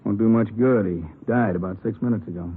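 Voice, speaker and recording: An actor playing a matter-of-fact hospital doctor in a 1950s radio drama, with a blunt, terse manner. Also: male voice, fairly low pitch, a little fast, over music, lightly acted. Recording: muffled old radio recording, faint noise.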